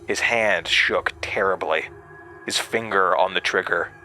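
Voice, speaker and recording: An actor playing a traumatized man recounting his horrifying past in an audio drama, halting and stammering. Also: low-pitched, pushed and bright, exaggerated voice, rough recording. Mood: haunted, tense. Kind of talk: audio drama monologue, first-person narration. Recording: music under the voice.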